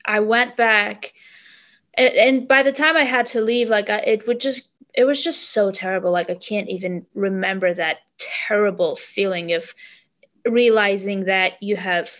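A young woman from Armenia talks in an interview, her first language Armenian, pausing and restarting often as she reaches for the right word. Her pitch sits mid-range for a woman. The sound is a little dull. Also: fairly bright tone. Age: young adult. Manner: halting, searching for words, many restarts. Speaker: singer. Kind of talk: casual interview.

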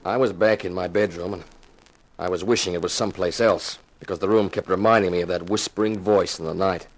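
An actor playing a tough, wry insurance investigator, narrating in 1950s radio detective style. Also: male voice, low-pitched, steady tone, fairly quick, poor audio. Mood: brooding, wry. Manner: wry, laconic, dramatic storytelling. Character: tough hard-boiled male insurance investigator.

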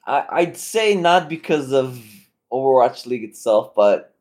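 A man's voice: mid-range voice, expressive, unhurried, a little mellow. A man co-hosting a casual podcast, dry and wisecracking. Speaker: podcast co-host.

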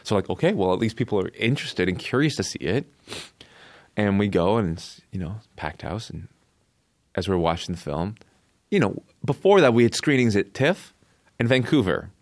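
A man with a Canadian accent telling a personal story casually as an interview guest, in short halting phrases. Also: fairly low pitch, sing-song, dry recording. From born in Korea, raised in Canada.

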